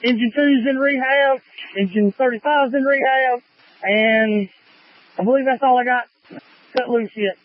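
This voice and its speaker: A man speaks in fireground radio traffic, his voice pitched very high. It comes through a lo-fi handheld radio with a dull, muffled sound. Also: unhurried, no room sound. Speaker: firefighter, fireground division officer. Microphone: handheld two-way radio. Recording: muffled lo-fi two-way fire radio.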